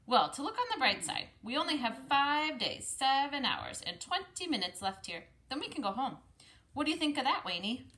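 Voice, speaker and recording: Woman as animated, coaxing big brother. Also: sits high, normal speed, voice pushed up, exaggerated voice. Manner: coaxing, pleading. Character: older brother as a boy, coaxing his sibling. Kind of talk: storybook character reading.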